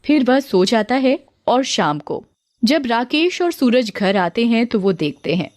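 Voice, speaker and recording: A woman's voice: a little high, sing-song, unhurried, darkish tone, no room sound. A woman reading a scripted story narration for children.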